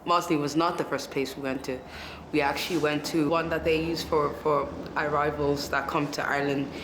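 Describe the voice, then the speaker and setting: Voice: mid-range voice, flat delivery, moderate pace, roomy sound, noisy background. A young adult from Zimbabwe giving a reflective account in a documentary interview. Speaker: documentary interviewee, former resident.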